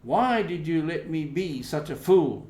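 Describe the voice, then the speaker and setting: A man acts out a stern old aunt from a storybook, hurt and scolding. The voice is pitched up and emphatic, full of reproach, in an echoey room. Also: animated, measured pace, mellow.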